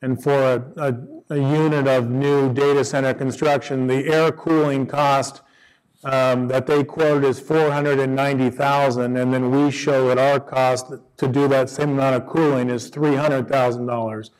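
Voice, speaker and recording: A man's voice: medium pitch, little pitch movement, normal speed, darkish tone, poor audio. A man on a conference panel in a conference room, explaining costs and margins in a matter-of-fact way. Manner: explanatory, business-focused. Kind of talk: panel discussion.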